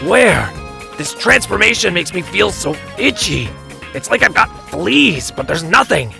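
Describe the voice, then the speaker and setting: An actor playing a gruff, restless young male werehog hero with a growling voice in a fan audio drama. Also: medium pitch, very animated, unhurried, bright, forceful tone, faint music behind, exaggerated voice. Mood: irritated, restless. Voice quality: gravelly, growly.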